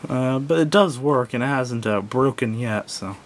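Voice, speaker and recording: A man giving a casual, unscripted hands-on product review in a hesitant, rambling, self-correcting way. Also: moderate pace. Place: indoors at a wooden table. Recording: noisy home recording with low rumble.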